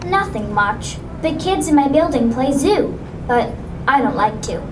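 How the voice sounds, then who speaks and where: A woman's voice: sits high, very animated, measured pace, noisy background. A woman voicing an earnest, curious, slightly skeptical little girl in a children's puppet musical, with simple childlike delivery. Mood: shy, unsure. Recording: roomy puppet show, distant, loud music.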